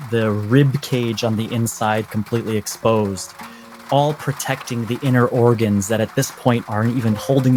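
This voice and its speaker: A man narrating a tabletop game as game master, in a deliberate, suspenseful way with pauses. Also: medium pitch, darkish tone, slight background noise, poor audio.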